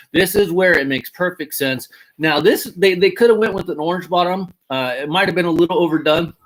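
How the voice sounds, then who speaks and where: A man in his thirties or forties chats casually and excitedly, his voice bright and fairly high. He swears offhandedly and keeps calling things awesome, like a collector vlogging his latest finds. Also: rough recording. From Mexican heritage. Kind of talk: casual unboxing chat.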